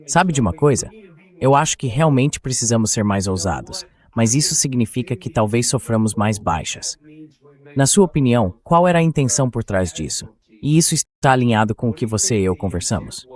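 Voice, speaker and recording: Synthetic male interviewer, measured delivery. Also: fairly low pitch, a little mellow. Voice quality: AI-generated dubbed voice. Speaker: host interviewer. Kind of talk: political interview with read-out quotes.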